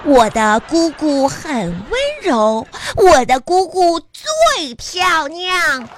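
Eager high-voiced little schoolgirl. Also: sing-song, noisy background, full character voice. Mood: earnest reciting. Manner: childlike, slow recited reading. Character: little girl pupil, earnest and eager. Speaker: comedy show performer. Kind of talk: radio sketch comedy acting.

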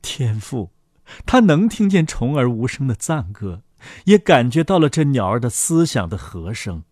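A man narrating a fairy tale for children as the host, in an expressive scripted storytelling style. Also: mid-range voice, moderate pace, no room sound, clean studio sound.

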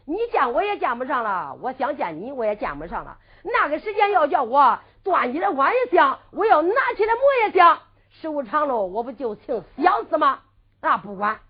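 A woman with a Henan accent voicing a teasing, sharp-tongued young servant girl in quick sing-song banter, on a muffled lo-fi recording. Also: high voice, very animated. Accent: Henan dialect.

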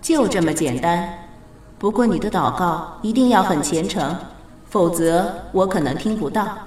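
Strong echo, calm goddess acted by a woman, measured, gently authoritative, patient, lively intonation, radio drama. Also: on the low side, recorded from a distance, faint music behind, natural voice. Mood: assured. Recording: very echoey reverberant studio effect.

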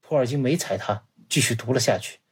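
A man's voice: medium pitch, fairly quick, husky. A man giving a scripted, dramatized narration like a radio play, acting out quoted dialogue as he tells it. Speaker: guest storyteller.

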